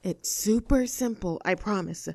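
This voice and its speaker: A woman's voice: medium pitch, a little slow, warm tone, no echo. A woman playing a cocky, rebellious young mean girl in an audio roleplay, snarky, bossy and self-assured as she talks to the listener. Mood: confident, instructing.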